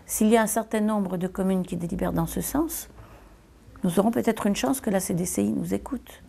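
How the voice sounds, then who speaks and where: A woman giving a semi-improvised political statement as a local elected official, deliberate and hesitant, repeating phrases for emphasis. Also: medium pitch, creaky, mellow, breathy edge.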